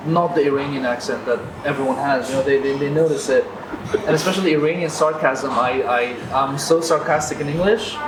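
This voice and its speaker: A man's voice: fairly high pitch, roomy sound, far from the mic, background noise. A man from Iran, talking hesitantly as co-host with many fillers and restarts. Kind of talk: casual conversation. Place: cafe.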